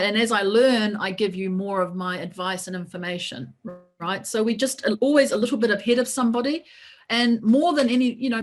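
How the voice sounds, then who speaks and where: Chatty encouraging New woman. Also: medium pitch. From New Zealand. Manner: encouraging, talkative, leans on "right?". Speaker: lawyer and coach. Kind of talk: casual webinar talk.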